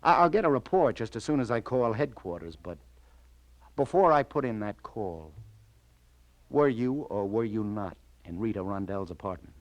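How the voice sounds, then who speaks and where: An actor playing a hard-boiled police detective, weary but firm, with terse, slangy delivery in old radio crime drama style. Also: male voice, fairly low pitch, lively intonation, normal speed, no echo, close to an everyday voice. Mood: businesslike. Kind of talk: 1940s-50s radio crime drama acting.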